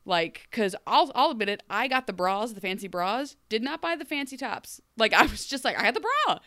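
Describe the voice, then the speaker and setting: A woman from Ohio co-hosting a podcast, chatting casually and animatedly, her voice still a little deep after a cold. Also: a little fast, no echo, clean studio sound. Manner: animated, acts out quoted voices, laughs often. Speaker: podcast co-host. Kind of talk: casual chat.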